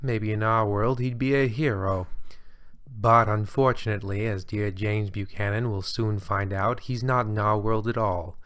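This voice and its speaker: A man's voice: fairly low pitch, a bit bright. A man playing the smug, ominous narrator of an eerie radio anthology, in parody of old horror shows.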